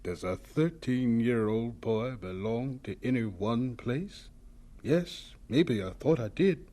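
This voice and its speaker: An actor playing a gentle, modest elderly professor reminiscing in a wry, reflective way in a radio drama. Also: male voice, mid-range voice, animated, slow pace.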